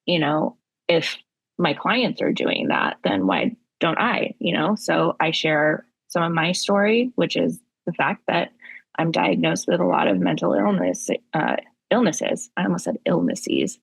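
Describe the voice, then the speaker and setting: Woman, raspy, husky, tired tone, candid, halting, dry recording. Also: on the low side, big pitch swings, dry recording, poor audio. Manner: candid, halting, many fillers. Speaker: podcast co-host, therapist. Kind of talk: casual podcast chat.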